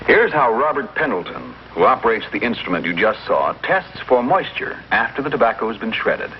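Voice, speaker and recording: A man narrating a 1950s television commercial as announcer, in an emphatic, polished sales-pitch manner. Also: very animated, fairly quick. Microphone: voice-over for filmed commercial. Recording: dull, noisy 1950s broadcast recording.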